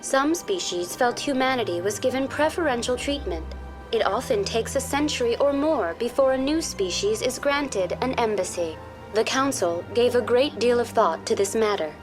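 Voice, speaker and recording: Casting: actress playing courteous female AI tour guide for a sci-fi game, high pitch, formal scripted delivery, music underneath. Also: animated, normal speed, lightly acted. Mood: polite, informative. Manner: formal, courteous, precisely worded. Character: polite female virtual intelligence tour guide, calm and programmed. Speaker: virtual guide. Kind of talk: scripted informational video game dialogue.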